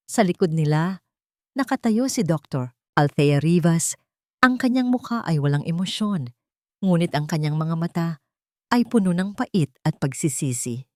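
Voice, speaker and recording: Female audiobook narrator, Filipino accent, lower-set mellow voice, dramatic storytelling, recorded close and dry. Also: dry recording.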